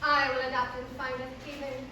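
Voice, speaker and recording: A woman acting as a melodramatic lady thief, high-pitched and loud, declaiming grand mock-Shakespearean lines as to a lover. The voice echoes heavily and sits back from the mic. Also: flat delivery, fairly quick. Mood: dramatic pleading. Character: melodramatic female thief pleading with her lover. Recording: roomy echo, distant mic, faint noise.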